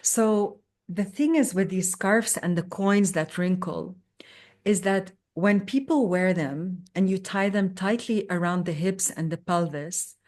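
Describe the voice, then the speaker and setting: A woman from Lebanon talks through her story on a video call, pausing often as she explains. Her voice sits a little low for a woman. She speaks into a desk microphone in a room at home. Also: darkish tone. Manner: reflective, explains carefully with many pauses. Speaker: belly dance teacher, guest. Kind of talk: conversational interview.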